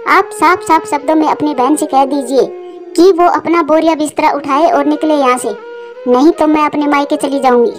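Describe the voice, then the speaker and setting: A woman's voice: high voice, steady tone, normal speed, faint music behind, close to an everyday voice. A woman voicing a resentful wife bird in a cartoon, scolding and complaining. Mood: annoyed, scolding. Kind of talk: Hindi moral-story cartoon dialogue.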